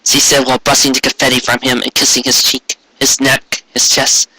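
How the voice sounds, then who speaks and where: A man reading a story aloud in an expressive, theatrical way, lingering over lists of words. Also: mid-range voice, brisk, breathy edge, dry recording, poor audio. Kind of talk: expressive scripted narration with occasional asides.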